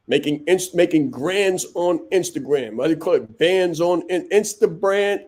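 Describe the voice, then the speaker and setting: Male coach-host, slightly high voice, hammers points home with blunt repetition. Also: darkish tone. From Vietnam. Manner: blunt, preachy, punchy repetition. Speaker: podcast host and business coach. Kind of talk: motivational monologue.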